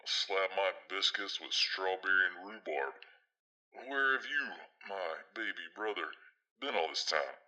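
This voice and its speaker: A protective older brother in an audio drama, teasing and blunt. His voice is low and strikingly bright, with a forceful edge. He delivers his words with folksy, theatrical flair. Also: lively intonation, unhurried, exaggerated voice, low-quality recording. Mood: delighted, teasing.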